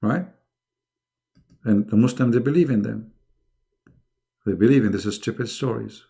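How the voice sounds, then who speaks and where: Creaky, dark-voiced sarcastic man. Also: fairly low pitch, measured pace. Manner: mocking, sarcastic, emphatic; speaks English as a second language. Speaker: debate host. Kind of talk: live online debate, addressing callers.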